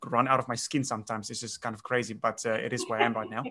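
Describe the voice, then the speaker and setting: A man's voice: mid-range voice, flat delivery, quick pace, pushed and bright. A man in his late thirties from South Africa, whose first language is Afrikaans, chatting as a winemaker guest, rambling with many restarts and fillers. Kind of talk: casual interview chat.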